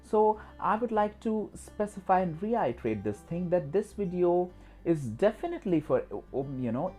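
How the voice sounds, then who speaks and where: An Indian-accented man, a native Hindi speaker, hosts an instructional talk. His voice is soft-edged and mellow, with wide melodic swings. He speaks emphatically and repeats himself, over a clear music bed. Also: mid-range voice. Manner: repetitive, emphatic, with frequent "you know". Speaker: host of a skills channel.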